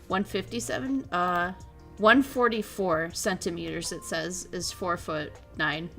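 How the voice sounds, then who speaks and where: A woman's voice: on the low side, fairly even intonation, a little slow, creaky, a bit bright, faint music behind. A young woman streaming a casual chat, animatedly retelling stories, laughing often and answering her chat. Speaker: VTuber streamer.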